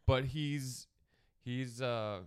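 A man with a stuffy, nasal head cold talks casually on a podcast, rambling and often breaking off mid-sentence. His voice sits at a middle pitch and comes through smooth and close. The sound is dry, with no room echo. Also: drawn-out words, a little mellow. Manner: rambling, self-interrupting, laughs often. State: congested, nasal. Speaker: podcast co-host, comedian. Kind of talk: casual chat.

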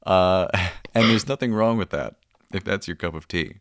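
A man hosting a relaxed, conversational interview, recorded on a close mic in a small closet space. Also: low-pitched, normal speed, no echo. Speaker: podcast host and audio performer. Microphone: close studio-style mic in a closet.

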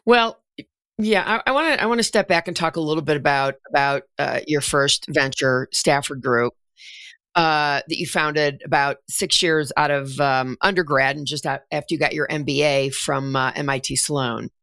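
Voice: medium pitch, moderate pace, sharp tone, dry recording. A podcast host asking conversational questions and giving frequent small affirmations. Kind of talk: interview conversation.

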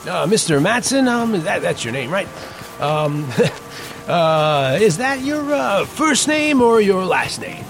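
An actor playing an eager, inquisitive young newspaper reporter in a western audio drama, a little hesitant and nervous. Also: male voice, high voice, sing-song, slow pace, creaky, a bit bright, a little hiss or hum, heavily acted. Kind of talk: western audio drama acting with first-person narration.

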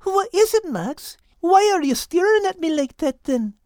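A woman's voice: extremely high pitch, big pitch swings, moderate pace, dark tone, no room sound, clean studio sound. A woman voicing an affectionate, chatty female animal in an audiobook story, folksy and gushing. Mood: fond, puzzled. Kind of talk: animal story character acting.

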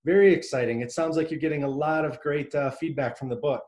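A man's voice: a little high, fairly even intonation, quick pace, a little mellow. A man hosting a podcast, reading a scripted introduction and then asking the guest questions in an upbeat, encouraging way. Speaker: podcast host. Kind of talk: interview hosting, read introduction.

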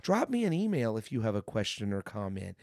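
A man hosts a devotional podcast in an earnest, encouraging tone, circling back to the same phrases. His voice is hoarse and gravelly from a lingering cold. The recording is dry and close on the mic, with no room sound. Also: on the low side, sing-song, brisk, darkish tone, no room sound. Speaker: podcast host.

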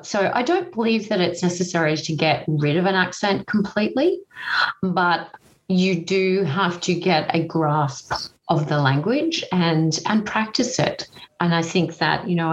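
Australian woman host, dull lo-fi sound. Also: lowish voice. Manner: reflective, affirming interviewer. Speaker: podcast host. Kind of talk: podcast interview.

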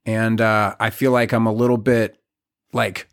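A man in his forties, a guest on a podcast, talking in a reflective, halting way with many restarts. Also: fairly low pitch, little pitch movement, clear, resonant tone. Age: 40s. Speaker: podcast guest, community leader. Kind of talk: casual podcast conversation.

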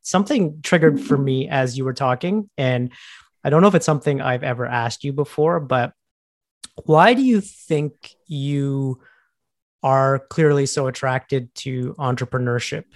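A male interviewer asks thoughtful, curious questions. His voice has a gravelly, creaky edge. It sounds close and dry, with no room echo. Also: medium pitch, measured pace.

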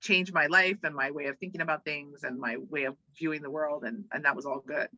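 A woman from Hawaii speaking casually and emphatically as an interview guest, chatty and animated. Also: low-pitched, a little fast, no room sound, dull audio. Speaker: interview guest, organizational development worker and voiceover artist.

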